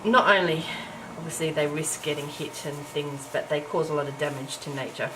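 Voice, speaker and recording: Middle-aged woman, low croaky morning voice, chatty crafting vlogger full of ums and little laughs, camera-mic sound with audible hiss. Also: steady tone, brisk. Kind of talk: casual chat while crafting. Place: home, early morning. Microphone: camera's built-in mic. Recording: noisy home camera recording.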